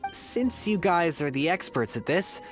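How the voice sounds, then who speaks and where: A man's voice: on the high side, expressive, unhurried, faint music behind. An actor playing an eager young male rookie adventurer in a game, earnest and hopeful. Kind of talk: game voice acting.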